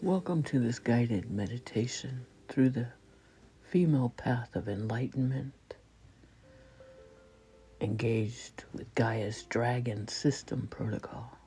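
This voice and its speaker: Very mellow dark tone, woman, hushed and breathy, reverent meditation guide, short measured phrases, music underneath. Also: very deep voice, poor audio. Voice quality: soft, breathy, often falling to a whisper. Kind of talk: slow spoken guided meditation.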